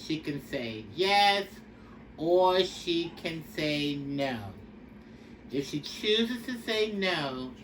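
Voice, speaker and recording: A woman reading a picture book aloud for young children in a deliberate, instructive way. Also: low-pitched. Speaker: storybook reader. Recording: distant mic with faint background noise.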